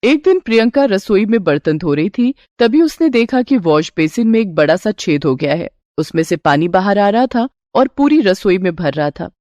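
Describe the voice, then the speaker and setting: A woman's voice: mid-range voice, big pitch swings, moderate pace, few pauses, darkish tone, no room sound, clean studio sound. A woman narrating a scripted Hindi moral bedtime story as a storyteller.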